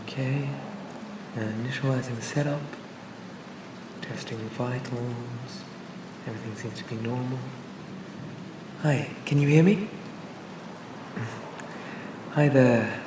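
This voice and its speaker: A man playing a charming, pleasant AI assistant for a police officer in a soothing, gently reassuring ASMR roleplay. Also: mid-range voice, slow pace, long pauses, echoey room, distant mic, background noise, lightly acted. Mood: calm, reassuring. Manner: gentle, hesitant, reassuring, conversational.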